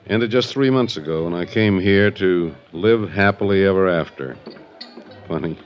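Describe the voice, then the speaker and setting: Male actor as aging ex-bootlegger fresh from prison, low, musing, world-weary, wry, in muffled vintage radio drama. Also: normal speed, lightly acted. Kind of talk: 1950s radio drama acting. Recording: muffled 1950s radio recording with music.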